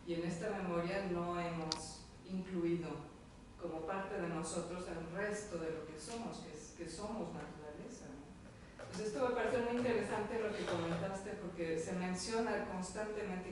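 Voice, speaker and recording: A woman's voice: lowish voice, moderate pace. Woman musing in an echoey room. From Mexico City. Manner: reflective, winding, many restarts. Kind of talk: round-table discussion. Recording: echoey room, far from mic, background noise.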